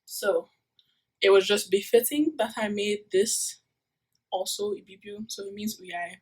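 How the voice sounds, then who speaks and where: A woman's voice: medium pitch, fairly even intonation, measured pace, breathy edge, rough recording. A young woman from Nigeria, a university student, rambling through a casual vlog with lots of fillers, recorded on a phone taped to the wall of her room. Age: young adult. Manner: rambling, many fillers and self-corrections.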